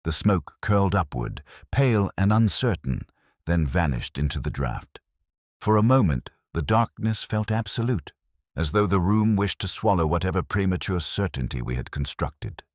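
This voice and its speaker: Really deep male voice reading a detective story, brooding and measured, super dry sound. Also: lively intonation, no echo. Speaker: narrator. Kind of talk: scripted first-person detective story narration.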